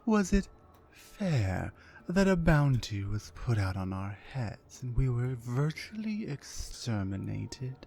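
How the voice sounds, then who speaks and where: A woman voicing a dominant, mean orc warrior woman in an ASMR roleplay, slow, commanding and condescending, with a low breathy voice that often nears a whisper. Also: very animated, warm tone, heavily acted. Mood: bitter, menacing.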